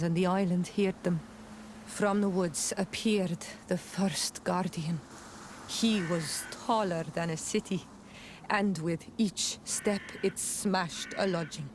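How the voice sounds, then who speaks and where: A woman's voice: lowish voice, darkish tone, slight background noise. A woman playing a proud, earnest young native princess in a video game cutscene.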